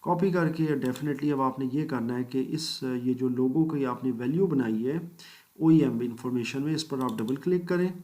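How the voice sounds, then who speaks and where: A man's voice: medium pitch, moderate pace, dark tone. Methodical male computer instructor. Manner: methodical step-by-step explaining, polite "ji" and "janab" asides; mixes English phrases into speech. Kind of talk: screen-recorded software tutorial.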